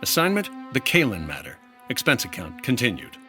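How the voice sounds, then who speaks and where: An actor playing a dry, unflappable hard-boiled insurance investigator in clipped, deadpan 1950s radio detective style. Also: male voice, medium pitch, brisk, music in the background. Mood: dry, matter-of-fact. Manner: terse, deadpan.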